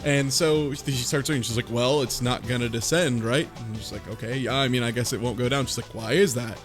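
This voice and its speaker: A man in a casual group discussion, excitably retelling a game story with swearing and acted-out dialogue. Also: medium pitch, a little fast. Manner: excitable, profane, acts out dialogue. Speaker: podcast panelist. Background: background music.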